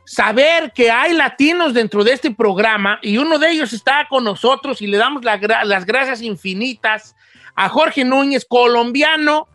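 A man performs a jolly old Mexican ranchero radio host with a Mexican Spanish accent. The voice sits high and sharp, and the sound is close and dry. He talks in a folksy way, joking and stumbling over his words. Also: normal speed, no room sound. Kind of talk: comedic radio chat.

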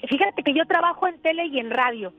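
A woman's voice: high-pitched, animated, brisk. A young woman from Sonora, Mexico, a journalist, talking earnestly on a radio call-in show with frequent fillers. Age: young adult. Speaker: journalist guest. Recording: tinny phone line.

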